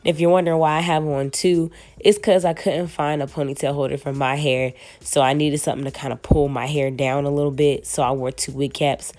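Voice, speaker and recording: A young woman, hoarse from a cold, chatting casually to viewers as she walks through a wig install. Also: low-pitched, flat delivery, fairly quick, few pauses, a little mellow. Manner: casual and chatty, slangy, addresses viewers as y'all. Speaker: beauty vlogger. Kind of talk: casual wig tutorial chat.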